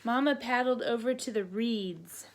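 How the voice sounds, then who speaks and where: A woman reading picture books aloud to children in a teacherly way, pausing to prompt listeners with questions. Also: on the high side, slight background noise. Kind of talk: read-aloud storybook narration with counting asides.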